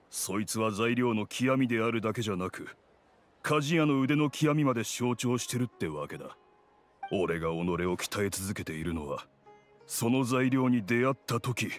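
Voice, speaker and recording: A man voicing a gruff, proud blacksmith in a video game, speaking in a blunt, measured, rough-spoken way. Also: lowish voice, lively intonation, close to an everyday voice. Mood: solemn, earnest. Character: gruff, proud, no-nonsense male blacksmith craftsman. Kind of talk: Japanese video game voice acting. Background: background music.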